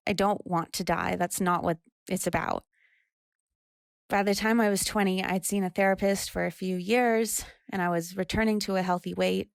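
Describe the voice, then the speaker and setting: A woman's voice: medium pitch, gravelly, no echo, studio quality, lightly acted. An actress playing a troubled, candid woman in her twenties, confessing in a subdued way in an audio drama. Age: 20s. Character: troubled, candid young woman recovering from anorexia. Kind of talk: audio drama monologue and dialogue.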